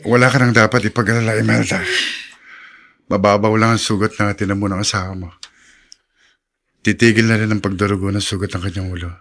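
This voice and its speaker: A man playing a dutiful, earnest Filipino village doctor with a firm, measured manner in a radio drama. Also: on the low side, steady tone, fairly bright tone. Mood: firm, composed.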